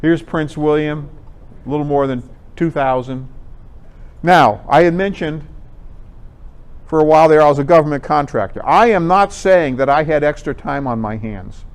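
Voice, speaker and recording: A man's voice: a little high, normal speed, clear, resonant tone. A man giving an informal, explanatory slide presentation to a group in a conversational way. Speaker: presenter at an amateur radio club talk.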